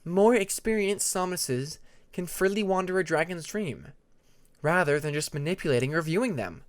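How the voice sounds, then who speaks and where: A man voicing a patient, earnest young female dragon who explains her magic in a measured way in an audiobook. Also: on the high side, animated, voice pushed up, lightly acted. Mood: explaining.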